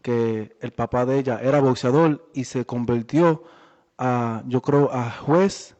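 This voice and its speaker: A man's voice: mid-range voice, takes it slowly, dark tone. A man with a Dominican accent in Spanish, answering interview questions conversationally as a boxer and guest. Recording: echoey, muffled room recording.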